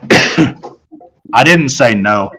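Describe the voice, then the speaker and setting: A man's voice: mid-range voice, lively intonation, very slow, noisy background. A man narrating a children's book in the first person, reading from the text as a storyteller.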